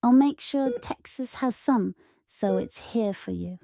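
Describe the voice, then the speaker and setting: A woman playing a gentle, guilt-ridden warplane in an audio drama, soft, solemn and earnest. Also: fairly high pitch, unhurried, gravelly, no room sound, studio quality. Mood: pleading, remorseful.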